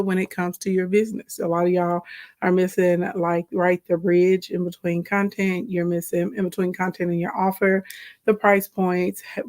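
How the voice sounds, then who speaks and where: Hoarse woman chatting on a live stream. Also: on the low side, a little mellow. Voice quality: slightly raspy voice. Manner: chatty, greets viewers by name mid-sentence. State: hoarse from a sore throat. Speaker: livestream host and business coach. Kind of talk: casual livestream chat.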